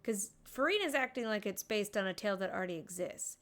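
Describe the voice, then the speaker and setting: A woman's voice: medium pitch, expressive, fairly quick, fairly bright tone. A woman live-streaming a game, commenting casually with wry, sarcastic asides. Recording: game music behind the voice. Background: video game music.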